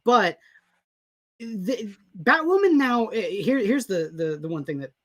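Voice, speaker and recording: A co-host chatting on a live comics stream, taking time to inform the viewers. The voice sits at an ordinary middle pitch, and the talk is measured and casual. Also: sing-song, a bit bright.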